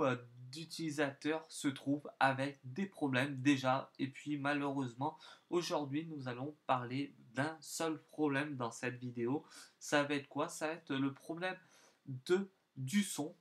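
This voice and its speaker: Halting man with a higher-pitched voice. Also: a bit bright. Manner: halting, hesitant, repetitive and wordy delivery. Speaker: YouTube tech channel host. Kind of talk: informal tech news vlog addressed to viewers.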